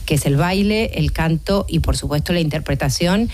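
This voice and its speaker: A woman's voice: sits low, normal speed, a little mellow. A woman speaking as a theatre producer in an interview, explaining things emphatically and with enthusiasm.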